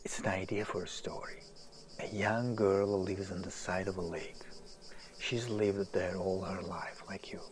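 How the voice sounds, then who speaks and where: Slow-spoken, world-weary male writer played by an actor. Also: low voice, fairly even intonation, crowd noise. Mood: musing. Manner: hesitant, musing, often hushed. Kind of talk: audio drama acting. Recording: noisy outdoor ambience, birds and insects.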